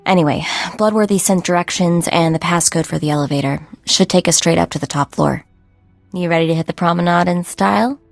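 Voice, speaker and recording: A woman's voice: fairly low pitch, brisk, gravelly, dry recording, faint music behind. A young woman playing an upbeat, casual sidekick in a sci-fi video game, breezy and chatty. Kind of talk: video game character acting.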